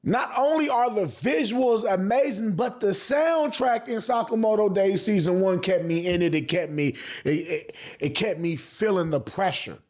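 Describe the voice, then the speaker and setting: A man's voice: high voice, moderate pace, hardly any pauses, darkish tone. A man giving casual, opinionated anime commentary as a vlog host, speaking in emphatic slang with frequent swearing and repeated phrases. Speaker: anime reviewer and channel host.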